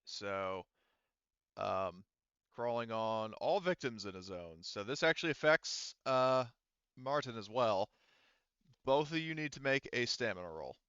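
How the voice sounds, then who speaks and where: A man acting as game master in a tabletop roleplaying game, speaking haltingly as he thinks through the rules aloud. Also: mid-range voice, animated, a bit bright, clear, resonant tone, no room sound, dull audio.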